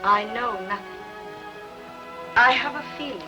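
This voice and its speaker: A woman acting a proud, scheming queen in a dubbed historical epic, solemn and measured in her dramatic delivery. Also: on the high side, music in the background, lightly acted. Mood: ominous.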